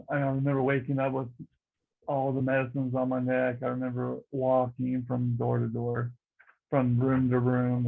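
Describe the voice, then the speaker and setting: A man's voice: mid-range voice, gravelly, slightly rough. A man in his early thirties casually recalling his own experiences as a panelist, over dull lo-fi call audio. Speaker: patient panelist. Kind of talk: casual recollection on an online panel.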